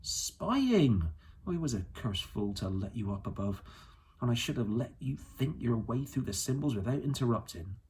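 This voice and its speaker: Guy doing old boatman for a storybook, rustic talk, gruff but kind, bit noisy recording. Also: medium pitch, very animated, moderate pace, a little mellow, natural voice. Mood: grave warning. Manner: rustic dialect with nonstandard grammar.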